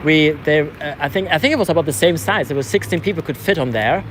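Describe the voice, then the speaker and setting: A man's voice: fairly high pitch, lively intonation, quick pace, a bit bright. A man from Switzerland, a non-native English speaker, chatting casually and conversationally as he vlogs out on a street. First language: not English, learned English after moving to England. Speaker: vlogger, protester. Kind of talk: casual chat. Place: outdoors on a city street at a protest. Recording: outdoor street noise with traffic.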